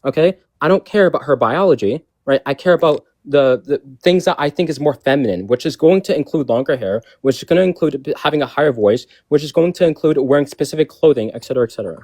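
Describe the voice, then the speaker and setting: Guy arguing fast on a video call, insistent, kinda exasperated, dry sound. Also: on the high side, expressive, a little mellow, dry recording. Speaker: debater. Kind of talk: heated online debate.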